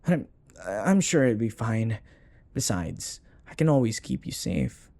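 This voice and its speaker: A man acting a rich older boyfriend, possessive and sweetly doting, in an intimate romantic audio roleplay, speaking in a soft, hesitant, coaxing murmur. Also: on the low side, sing-song, unhurried, vocal fry, no room sound, heavily acted. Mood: protective, possessive.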